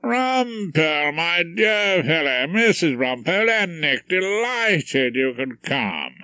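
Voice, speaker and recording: A man doing a pompous, slow-spoken head of chambers. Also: on the high side, very animated, pushed and bright, no echo, voice pushed up, exaggerated voice. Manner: drawn-out, self-important speechmaking. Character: pompous middle-aged male head of chambers.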